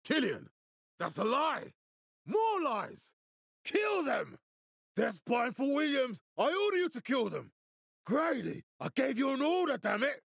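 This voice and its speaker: An actor playing a menacing middle-aged military leader in a video game, authoritative, curt and measured. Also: male voice, very high-pitched, very animated, vocal fry, a bit bright, slightly rough, no room sound, clean studio sound, exaggerated voice. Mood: furious, commanding. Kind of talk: dramatic video game voice acting.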